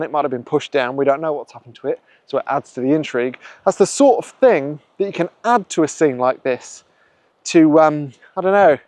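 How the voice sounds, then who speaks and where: A man hosting his own photography channel, thinking aloud in a relaxed, musing way as he works a scene outdoors on a windy coast. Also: fairly high pitch, dry recording. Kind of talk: casual vlog narration on location.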